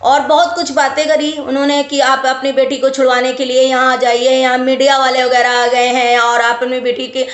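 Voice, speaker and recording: A woman's voice: sits high, completely flat delivery, moderate pace, hardly any pauses. A woman vlogger talking casually to viewers and giving advice, explaining with emphatic repetition. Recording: roomy, echoey sound.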